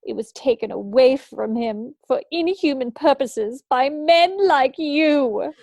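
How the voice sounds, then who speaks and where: A woman acts out a bitter, grieving female scientist in a dramatic script read. The voice is fairly high yet soft and mellow, very close and dry. The delivery is solemn, deliberate and accusing. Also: no room sound.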